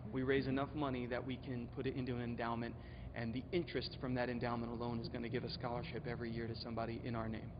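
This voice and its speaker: A man's voice: mid-range voice, monotone, quick pace. A man from Florida, a singer, talking casually in a news interview. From Florida, United States. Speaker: singer in a vocal band. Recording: dull audio with noticeable background noise.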